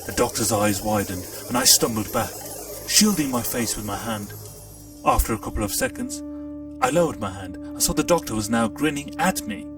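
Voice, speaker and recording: Gravelly man narrating a story. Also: medium pitch, normal speed. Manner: expressive, storytelling delivery. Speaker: audiobook narrator. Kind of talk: first-person storybook narration. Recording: distant mic, faint music.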